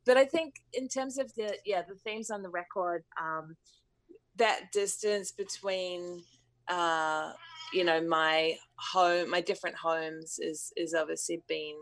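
A musician in her thirties from New Zealand talks through her answers slowly and thoughtfully. She hesitates often and fills the gaps with ums and "you know". Her voice sits fairly low. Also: a bit bright, poor audio. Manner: thoughtful, hesitant, many fillers. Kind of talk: interview answers.

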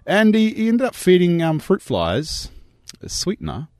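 A man with a slightly croaky voice, chatting and mocking the news as a radio co-host. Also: high-pitched, big pitch swings, moderate pace, darkish tone, no room sound, clean studio sound. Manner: chatty, mocking, laughs often. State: croaky voice from yelling. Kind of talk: casual radio chat.

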